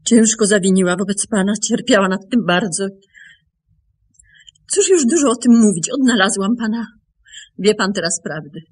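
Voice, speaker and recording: A woman acting a grave, composed bearer of a family secret in a radio drama. She speaks in a husky, mid-pitched voice, measured and broken by careful pauses. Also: natural voice. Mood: solemn confession. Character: grave, composed woman confessing her dead sister's secret.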